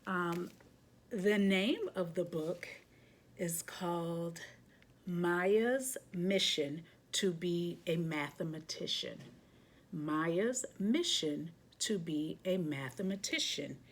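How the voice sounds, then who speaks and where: A woman with a fairly low voice hosts a read-aloud for children. She moves between casual chat and expressive picture-book narration, adding her own chatty asides as she goes. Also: slow pace.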